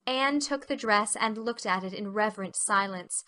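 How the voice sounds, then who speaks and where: Bright-voiced woman narrating a story. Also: mid-range voice, moderate pace.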